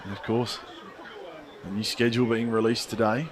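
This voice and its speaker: Laid-back baseball announcer. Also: male voice, on the low side, flat delivery, moderate pace, crowd noise, background noise. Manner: easygoing, chuckles between calls. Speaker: play-by-play commentator. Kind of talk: baseball play-by-play.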